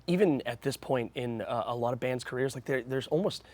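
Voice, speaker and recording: A man's voice: medium pitch, brisk, a little mellow. A male radio host with a slightly scratchy voice, asking rambling, enthusiastic questions. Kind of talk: casual interview chat. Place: indoor radio studio.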